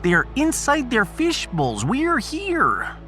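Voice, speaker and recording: A woman voices a skeptical ship's doctor, blunt and dryly witty. She talks slowly in a slightly high voice with lively swings, in a sci-fi audio drama. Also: faint music behind, heavily acted. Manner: blunt, dry, clipped grammar.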